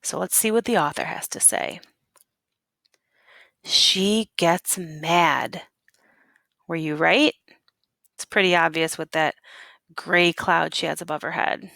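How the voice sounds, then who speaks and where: A woman teacher reading a picture book aloud expressively, with deliberate emphasis on key words and questions for young pupils. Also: fairly low pitch, creaky, bright, forceful tone, dry recording, studio quality.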